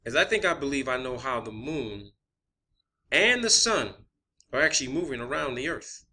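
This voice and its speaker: Bright-voiced man explaining emphatically. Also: medium pitch, animated, moderate pace. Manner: emphatic, repeats phrases for stress. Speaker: video channel host. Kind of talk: unscripted explanatory monologue.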